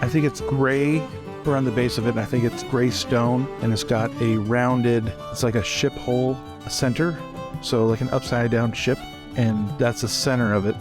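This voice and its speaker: A man's voice: medium pitch, fairly even intonation, normal speed. A man playing a tabletop game, describing scenes in improvised collaborative storytelling. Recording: faint music behind.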